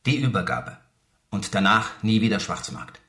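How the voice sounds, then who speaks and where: A man's voice: on the low side, big pitch swings, normal speed, sharp tone. A man acting in a radio drama as an anxious, insistent screenwriter who explains and instructs precisely. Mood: instructing, firm. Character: anxious, insistent male novelist turned screenwriter, short of money. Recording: roomy, echoing interior sound.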